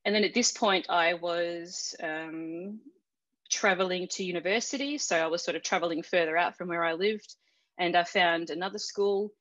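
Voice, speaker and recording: A woman's voice: fairly low pitch, moderate pace, fairly bright tone, dry recording, muffled recording. An Australian woman telling a personal story in a casual interview, chatty, with many fillers and restarts. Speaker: interview guest.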